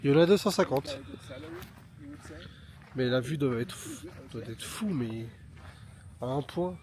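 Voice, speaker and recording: A man's voice: mid-range voice, unhurried, crowd noise. A man with a head cold, vlogging outdoors in a casual, marvelling way. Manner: excited, marvelling, mixes in simple English. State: has a head cold, stuffy. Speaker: travel vlogger. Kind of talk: casual vlog narration. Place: outdoors on a volcanic plain. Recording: noisy outdoor recording.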